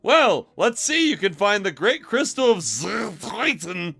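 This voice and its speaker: A man reading as a curt, short-tempered deli-owning uncle, loud, abrupt and emphatic. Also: sits high, very animated, slow, vocal fry, husky, exaggerated voice. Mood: welcoming.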